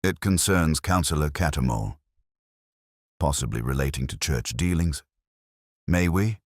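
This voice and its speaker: A patient police inspector, voiced by a male storyteller, very deep and understated. He speaks deliberately with dry wit, in a dry, close recording. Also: lively intonation, no echo, exaggerated voice. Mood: dry, polite.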